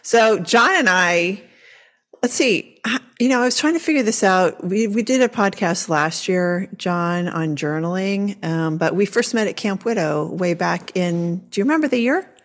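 A woman's voice: mid-range voice, expressive, moderate pace. Enthusiastic woman hosting a podcast. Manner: enthusiastic, gushing. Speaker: podcast host. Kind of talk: casual podcast interview.

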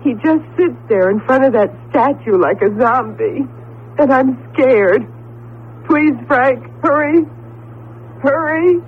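An actress playing an emphatic, sardonic wife in a 1970s radio drama, with wry, theatrical delivery. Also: female voice, high-pitched, slow, phone-quality sound. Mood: frightened, pleading. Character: exasperated, sardonic wife of an Egyptology professor. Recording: thin, noisy 1970s radio recording.

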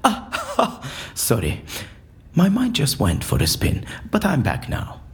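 A man's voice: fairly high pitch, huge pitch swings, takes it slowly, slightly rough, full character voice. An actor playing an eccentric, theatrical man who speaks in cryptic, whispery offers in an audio drama. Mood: wry, calm. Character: eccentric trance-prone man offering cryptic bargains, craving freedom.

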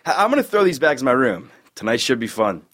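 A man's voice: medium pitch, very animated, fast, fairly bright tone. A man playing an easygoing, friendly all-American college boy in a sitcom table read. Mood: relaxed.

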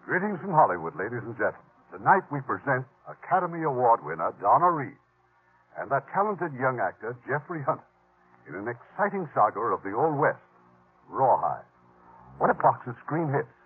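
A man hosting a 1950s radio drama, narrating from a script with a dramatic, deliberate storytelling delivery. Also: mid-range voice, sing-song, slightly rough. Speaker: host and producer. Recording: tinny vintage radio with music.

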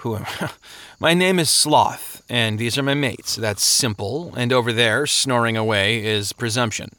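A man's voice: medium pitch, bright, forceful tone, no room sound. Storybook idler, played by a male narrator: half-asleep, slurred, shrugging and easygoing, close dry recording. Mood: drowsy amusement. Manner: slow, vague, shrugging. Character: groggy, indolent man named Sloth, easygoing and careless. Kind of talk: audiobook character voice.

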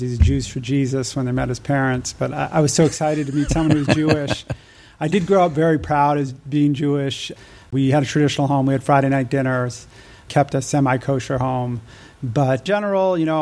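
A man's voice: mid-range voice, darkish tone. A man from the United States, a podcast guest telling his own story in a conversational way. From United States (New Mexico and Nevada).

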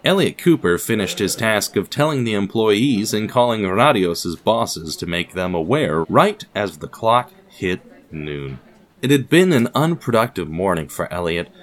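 A man reading scripted narration as the narrator of an audio production. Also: fairly low pitch.

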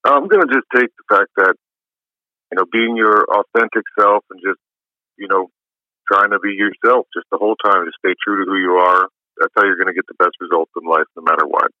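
A man with a low voice, giving easygoing, rambling interview answers full of fillers. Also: fairly quick, no room sound. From New York. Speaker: musician guest. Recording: dull lo-fi dry sound.

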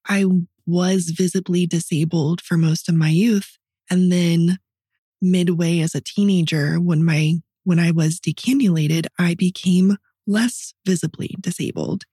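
A woman with a strongly raspy, rather low voice tells personal stories on a podcast. Her tone is dark and mellow, and her delivery is candid and reflective, in a conversational style. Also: dry recording, clean studio sound. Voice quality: raspy voice from partly paralyzed vocal cords. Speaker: podcast co-host. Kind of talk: personal storytelling in casual podcast chat.

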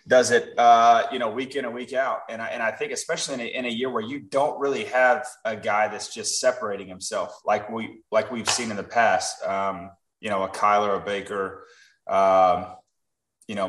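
Man, sports podcast co-host, lower voice, echoey room, stumbling restarts. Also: fairly even intonation, normal speed, a bit bright, far from the mic. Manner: hesitant, repeats words, jokey. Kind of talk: casual sports chat. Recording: roomy echo.

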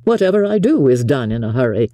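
An audiobook narrator voicing an eager, impulsive, courteous young gentleman from a period novel in an emphatic, earnest way. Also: mid-range voice, very animated, fast, no room sound, studio quality. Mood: breezy.

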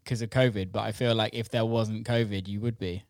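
A man's voice: lowish voice, steady tone, fairly quick, no room sound, clean studio sound. A man hosting an interview show, chatting in an informal, slangy way. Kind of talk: casual conversational interview.

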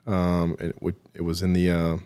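Very deep-voiced man telling his story. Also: completely flat delivery, warm tone, clear, resonant tone. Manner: halting, self-deprecating, dry humour. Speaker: podcast guest, military veteran. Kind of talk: interview storytelling.

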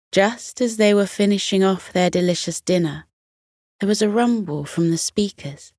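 A woman narrating a children's bedtime story in a gentle, expressive storytelling manner. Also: mid-range voice, no echo, clean studio sound.

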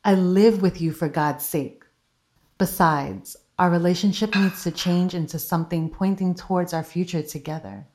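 A woman's voice: fairly low pitch, normal speed, a little mellow, lightly acted. A woman playing an insistent, scheming girlfriend who presses for secrets in an audio drama. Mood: wounded, coaxing.

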